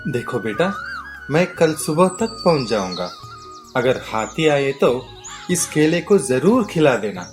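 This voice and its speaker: Slow, gentle shopkeeper father, acted out. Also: mid-range voice, very animated, music in the background. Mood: instructing. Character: kindly simple village shopkeeper, a gentle father. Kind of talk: children's cartoon story dialogue.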